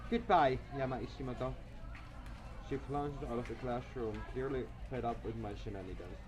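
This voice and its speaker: A man's voice: medium pitch, brisk. A man doing an amateur let's play, reading a visual novel aloud with casual commentary and stumbling over words. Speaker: let's player. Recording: music behind.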